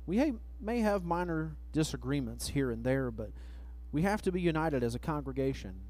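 A man's voice: a little high, big pitch swings, darkish tone. Man preaching from a church pulpit. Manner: measured, reads verses slowly, trails off at phrase ends. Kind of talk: sermon with scripture reading. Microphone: pulpit microphone, far camera.

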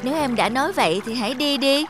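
A woman voicing a gentle, caring elder-sister lake spirit in a children's cartoon fable, soothing and reasoning. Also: sits high, quick pace, music in the background, close to an everyday voice. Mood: reassuring.

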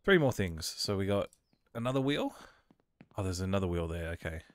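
Man with a cold, lowish voice, casual let's-play commentary, reads game prompts aloud. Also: expressive, moderate pace, clean tone, no room sound. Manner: reads on-screen prompts aloud, thinking out loud. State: has a cold. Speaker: gameplay commentator.

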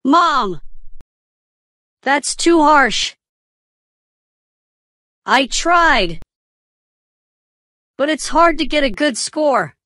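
A woman voicing a whiny, earnest little schoolboy in a scripted cartoon, speaking simply and deliberately. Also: high-pitched, lively intonation, sharp tone, no room sound, clean studio sound, full character voice. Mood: sulky. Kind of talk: slow scripted English-learning cartoon dialogue.

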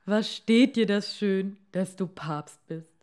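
A woman's voice: medium pitch, slow, darkish tone, lightly acted. A woman voicing a timid, submissive fisherman husband in a storybook tale, hesitant and pleading, drawing out the words. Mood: subdued awe.

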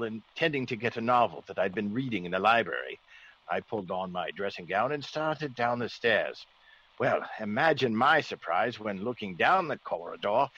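An actor playing a genial, excitable aristocratic country squire, well-bred and blustering, in classic radio drama. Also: male voice, medium pitch, husky. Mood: narrating, earnest. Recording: dull, faintly noisy old radio recording.

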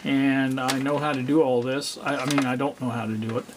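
A middle-aged man giving a casual, unscripted demonstration, halting and rambling as he thinks aloud. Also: medium pitch, little pitch movement, noisy background. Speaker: hobbyist presenter. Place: indoor home workspace.

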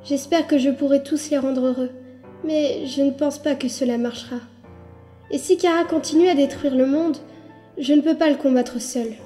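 A woman's voice: high-pitched, warm tone, natural voice. A woman voicing an anxious, kind-hearted, determined young child in a French comic dub, earnest and emotional.

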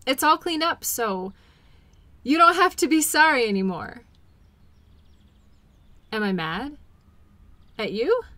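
A woman playing a caring, patient girlfriend in an intimate role-play audio, talking gently and soothingly to her partner. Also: a little high, dry recording. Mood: gentle, reassuring. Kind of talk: intimate one-sided role-play acting.

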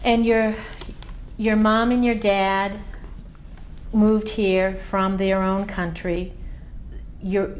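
Woman interviewer, courteous and measured, middle pitch, faint hiss. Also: flat delivery. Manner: polite, measured questioning. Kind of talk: oral history interview. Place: library room.

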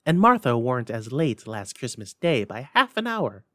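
A man voicing a warm, fussing working-class mother in a storybook reading, exclaiming in a folksy, motherly way. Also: mid-range voice, sing-song, normal speed, no echo, studio quality. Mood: fretting.

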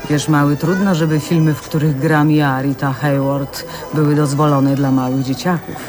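An actress playing a glamorous, flirtatious 1940s film diva in a radio drama, teasing and coquettish. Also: female voice, low-pitched, unhurried. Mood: teasing, amused.

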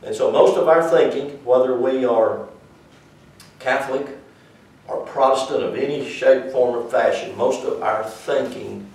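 Folksy older Southern preacher, roomy echo. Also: male voice, mid-range voice, measured pace, darkish tone. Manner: folksy, rambling, conversational with audience asides. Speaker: church teacher. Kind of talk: informal church teaching. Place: small church classroom, indoors. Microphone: far camera. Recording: echoey room from far off.

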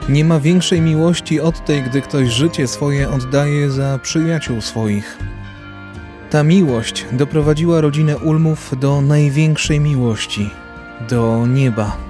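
A man giving solemn, scripted narration in a measured manner. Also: on the high side. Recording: music bed under studio voice. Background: clear music.

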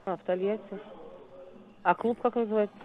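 A woman's voice: medium pitch, fairly even intonation, moderate pace. Matter-of-fact woman on a muffled phone line. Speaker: club receptionist answering phone. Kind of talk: brief phone conversation.

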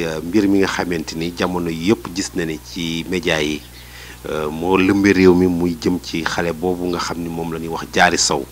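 Low-voiced Senegalese man, hesitant. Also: slight background noise. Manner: measured, hesitant with many fillers. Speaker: street interviewee. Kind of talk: street interview answer. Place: indoors in a small room.

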